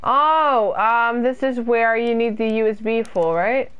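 Slow-talking chatty young British woman. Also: a little high, clean tone, lo-fi sound. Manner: chatty and self-deprecating, reads chat aloud and answers it. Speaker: streamer. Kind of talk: casual gameplay commentary, chatting with viewers. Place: small room indoors.

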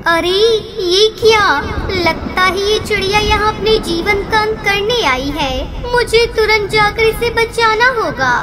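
Woman voicing cartoon female ghost bird, extremely high pitch, kind, brave, earnest, emphatic. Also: takes it slowly, few pauses. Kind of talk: Hindi moral-story cartoon dubbing.

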